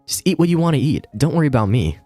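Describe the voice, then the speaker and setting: A man's voice: medium pitch, very animated, racing, darkish tone, voice pushed up. A man voicing a jaded thirty-year-old detective in a visual novel, grumbling with weary sarcasm. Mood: irritated. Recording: roomy echo, music behind.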